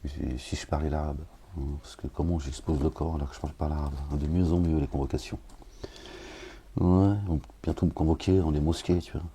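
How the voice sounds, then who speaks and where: A man who talks casually with wry, grumbling asides to his listeners, then reads scripture slowly and solemnly. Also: rumbling low, level tone, warm tone, a little hiss or hum. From France. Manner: slow, measured recitation with Arabic invocations. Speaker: preacher reading scripture.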